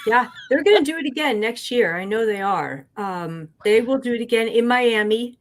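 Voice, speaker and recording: A woman's voice: fairly high pitch, expressive, moderate pace, crowd noise. A woman guest talking casually in a group video call. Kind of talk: casual chat.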